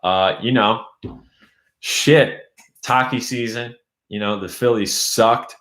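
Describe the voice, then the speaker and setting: A man's voice: medium pitch, steady tone, slow pace, a bit bright, echoey room. A young man chatting loosely on a live stream, reading out viewer comments and saying his voice is going. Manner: loose, rambling, reads chat aloud. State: losing his voice. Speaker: YouTube host. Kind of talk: casual live-stream chat.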